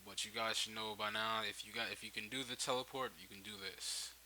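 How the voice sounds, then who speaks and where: Male tutorial host, very bright and forceful tone, unscripted and halting, faint hiss on the recording. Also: fairly low pitch, poor audio. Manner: halting, repeats and restarts phrases. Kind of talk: casual software tutorial, off the cuff. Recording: hissy home microphone recording. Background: steady hiss.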